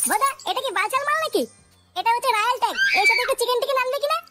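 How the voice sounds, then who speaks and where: Comic cartoon casting: rowdy young male boozer, tiny squeaky pitch, rapid brash delivery. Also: lively intonation, shouting, loud music behind the voice. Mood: rowdy, indignant. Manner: brash, slangy, swearing. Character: rowdy foul-mouthed young male drinking buddy, cartoon.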